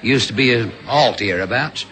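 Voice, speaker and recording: An actor playing an officious, bossy railway guard who talks ungrammatically, in a 1950s radio drama. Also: male voice, mid-range voice, normal speed, sharp tone, a little hiss or hum, natural voice, poor audio. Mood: stubborn. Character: officious middle-aged male railway guard.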